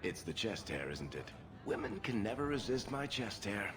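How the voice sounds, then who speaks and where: A man's voice: fairly low pitch, very animated, normal speed, over music. A man acting a roguish, confident, wisecracking friend in a video game, with a wry, teasing delivery.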